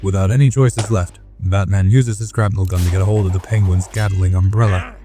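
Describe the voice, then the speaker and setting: A man's voice: deep voice, fairly even intonation, brisk, far from the mic, over loud music. A man giving dramatic scripted narration as the narrator of an audio drama.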